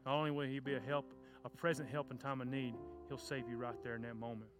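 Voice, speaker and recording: A children's pastor, preaching fervently and then praying, loud and passionate at the peaks. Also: male voice, mid-range voice, level tone, quick pace. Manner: fervent shouting preacher, stomps and cries. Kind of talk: sermon and closing prayer. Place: church sanctuary. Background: soft guitar music.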